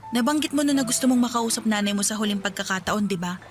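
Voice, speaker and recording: A woman's voice: mid-range voice, quick pace, a little hiss or hum, natural voice. An actress playing Sonia, a talkative and bossy young woman, in a radio drama. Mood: scheming. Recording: music bed under dialogue.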